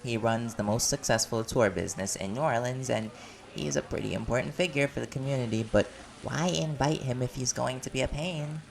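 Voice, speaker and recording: A man's voice: mid-range voice, brisk, vocal fry, crowd noise, natural voice. An actor playing a playful, caring man in a chatty, teasing audio roleplay conversation. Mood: casual, doubtful. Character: silly, playful, caring man at a community party.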